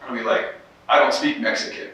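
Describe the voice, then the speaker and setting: A man speaking on a convention panel, telling stories in an animated, emphatic way. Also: brisk. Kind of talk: casual panel discussion. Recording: echoey hall from far off with faint noise.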